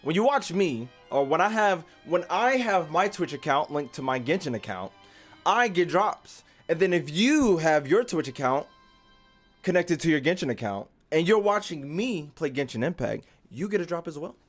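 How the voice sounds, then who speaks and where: A man's voice: fairly high pitch, sing-song, normal speed, faint music behind. Casual young man streaming a game. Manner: informal, slangy, rambling. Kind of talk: casual livestream chat and commentary.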